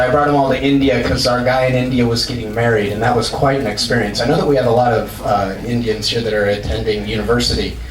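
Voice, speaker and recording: A man giving a casual conference talk to a live audience, loud and chatty, with many fillers and restarts. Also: medium pitch, noisy background. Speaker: conference speaker. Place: large university lecture hall. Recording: echoey hall picked up from far off.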